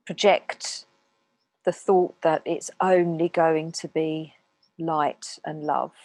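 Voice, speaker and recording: Slow, low-pitched woman guiding meditation. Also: no room sound, low-quality recording. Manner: calm, unhurried, with long pauses. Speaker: meditation teacher. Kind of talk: guided meditation talk.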